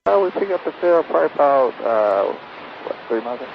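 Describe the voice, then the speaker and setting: A muffled cockpit radio with faint noise carries a man making pilot calls. He talks quickly, with a gravelly creak. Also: mid-range voice, sing-song. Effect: cockpit radio transmission. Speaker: airline pilot.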